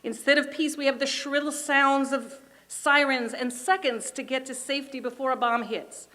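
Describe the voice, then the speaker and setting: High-voiced middle-aged woman giving a rally speech. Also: fairly even intonation, bright, forceful tone. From Israel. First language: Hebrew. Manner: emphatic, deliberate oratory with repeated phrases. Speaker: community organization chair speaking at a rally. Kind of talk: prepared political speech.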